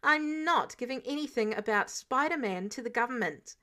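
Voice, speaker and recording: A woman's voice: fairly high pitch, animated, moderate pace, sharp tone. A woman voicing a sardonic, fiercely protective male superhero, blunt and emphatic, in an audio story. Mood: angry, defiant.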